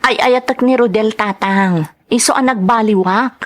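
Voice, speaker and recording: A woman's voice: a little high, moderate pace, lightly acted. An actress playing an ambitious, discontented young wife and mother in a radio drama, complaining and insistent. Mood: defensive, defiant.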